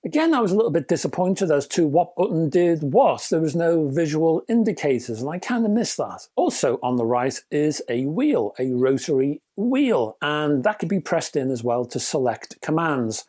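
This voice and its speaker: British man with a very animated delivery. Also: on the high side, moderate pace, few pauses. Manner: chatty, wry, emphatic with rhetorical questions. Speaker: product reviewer. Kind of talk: scripted review narration with casual asides.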